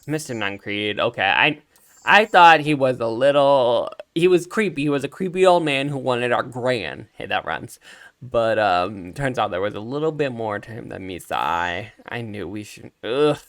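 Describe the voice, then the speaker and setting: A man giving casual, animated game commentary as a let's play streamer, reacting aloud to the story. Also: medium pitch, moderate pace. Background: game music.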